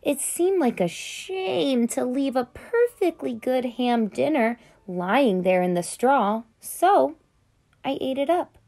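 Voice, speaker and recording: A woman voicing a sly, smooth-talking male wolf who tells his side of the story in a chatty, conspiratorial way, read aloud from a children's picture book. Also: high-pitched, lively intonation, slow, darkish tone, voice pushed up, exaggerated voice.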